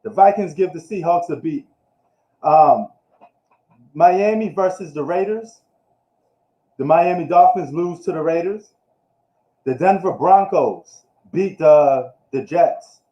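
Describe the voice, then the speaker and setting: A man's voice: a little high, slow pace, long pauses. A man from New York giving a casual, slangy live recap of the football week, ranting loosely as he goes. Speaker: sports streamer. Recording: echoey room, dull lo-fi live stream.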